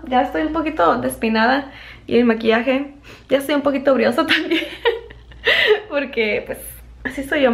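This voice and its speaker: Giggly high-voiced young woman vlogging. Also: creaky. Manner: giggly, chatty. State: hoarse. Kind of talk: casual vlog chat. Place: small apartment indoors. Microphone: handheld camera at arm's length.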